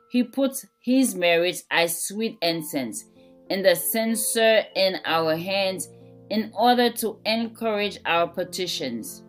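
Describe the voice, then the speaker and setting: A woman reads a devotional text in a fairly low voice, very slowly and deliberately. Each phrase is set apart with care, and soft plucked guitar plays faintly behind her. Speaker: devotional reader. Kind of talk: scripted devotional reading. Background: gentle plucked guitar music.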